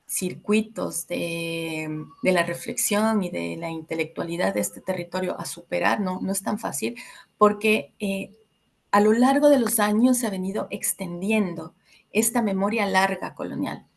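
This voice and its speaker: A woman from Ecuador in her thirties giving a reflective educational talk to a group, pausing often with fillers. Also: lowish voice, fairly even intonation, gravelly. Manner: reflective, many filler hesitations. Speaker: workshop facilitator.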